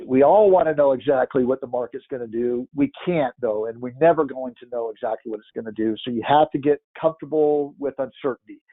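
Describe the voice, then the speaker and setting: A man's voice: medium pitch, fast talker, hardly any pauses, dry recording. Congested man talking charts on a tinny line. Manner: rambling, thinks aloud with frequent restarts. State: congested, stuffy-nosed. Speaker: market analyst. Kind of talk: casual chart-by-chart market commentary. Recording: tinny phone-like dry audio.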